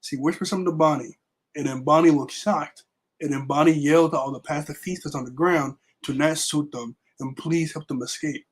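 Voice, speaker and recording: A young man with a raspy voice left over from being sick, casually recapping a manga chapter with lots of fillers. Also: fairly high pitch, steady tone, fairly quick, poor audio. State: raspy voice recovering from illness. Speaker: manga review vlogger. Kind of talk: casual chat.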